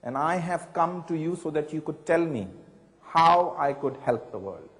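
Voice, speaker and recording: A woman's voice: low-pitched, animated, normal speed, in a large hall, low-quality recording. A man quoting an earnest young woman who pleads insistently for guidance.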